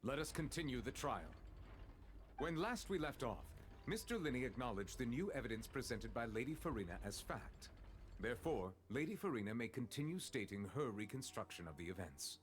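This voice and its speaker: A man's voice: medium pitch, very animated, normal speed, a little hiss or hum, natural voice. An actor playing a solemn, formal and impartial male chief judge in a video game.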